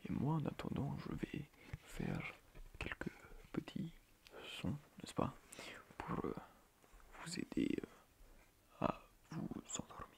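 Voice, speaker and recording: A man acts out a quirky male schoolteacher in an ASMR roleplay. He speaks in a hushed, breathy half-whisper with very heavy creak, and his pitch moves around freely. He mutters, hesitates and repeats himself, mock-strict but quiet with his pupils. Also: wildly swooping pitch, takes it slowly, long pauses, exaggerated voice. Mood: calm, helpful. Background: computer keyboard typing.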